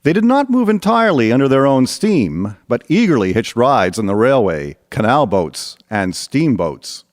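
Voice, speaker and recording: A man's voice: mid-range voice, very animated, normal speed, hardly any pauses, no room sound. A man narrating a scripted historical radio drama in a storytelling style.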